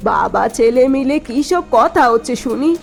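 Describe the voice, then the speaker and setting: A woman acts out a scheming middle-aged mother-in-law from a cartoon family tale. Her delivery is needling and self-justifying, full of spite. Quiet music sits under the voice. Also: fairly high pitch, lively intonation, moderate pace, vocal fry. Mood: spiteful. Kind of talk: dubbed cartoon dialogue.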